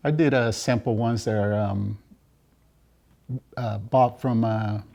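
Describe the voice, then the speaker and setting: Halting, low-voiced man in casual interview. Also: fairly even intonation, gravelly, dark tone. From Juarez, Mexico. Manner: halting, searching for words. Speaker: interviewed draft beer system installer and business owner.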